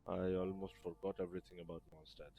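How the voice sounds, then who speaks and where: A man's voice: low voice, level tone, fast, vocal fry, warm tone. A man casually commenting while he plays a video game, thinking aloud in an offhand, chatty way. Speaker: gamer. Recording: game music playing behind. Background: game music and sound effects.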